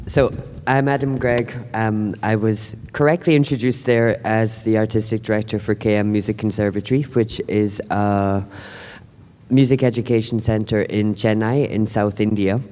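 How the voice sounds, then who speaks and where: Panel speaker, man, low mellow voice, relaxed, hall reverb. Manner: relaxed, chatty with frequent ums. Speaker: panelist, artistic director. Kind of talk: panel talk. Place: conference hall.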